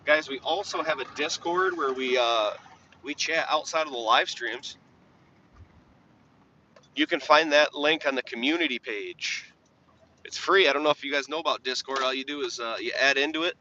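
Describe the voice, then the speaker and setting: A young man with a slightly raspy voice, chatting casually on a live stream while plowing snow and answering viewers' questions. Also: mid-range voice, normal speed, pushed and bright. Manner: laid-back, rambling, reads chat aloud. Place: inside a truck while plowing. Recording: dull lo-fi stream audio with faint engine noise.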